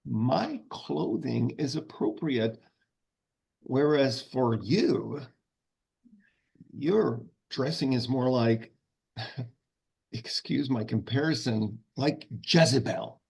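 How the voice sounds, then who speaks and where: Monk male actor: pompous, scolding traditionalist, unhurried delivery, rough husky tone, comedy script read. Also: medium pitch, animated, darkish tone, full character voice. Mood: lecturing. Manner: pompous, lecturing.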